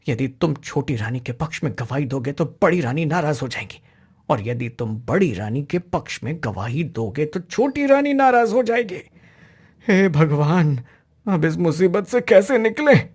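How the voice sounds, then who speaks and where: A man's voice: a little high, animated, unhurried, a little mellow, husky. A man playing an exasperated, fretful royal minister and father in a cartoon story. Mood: exasperated, worried.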